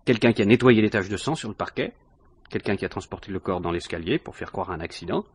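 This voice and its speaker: An actor playing a suspicious police inspector in a 1950s French radio mystery, questioning insistently with dry understatement. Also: male voice, fairly low pitch, quick pace, husky, no room sound. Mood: accusing.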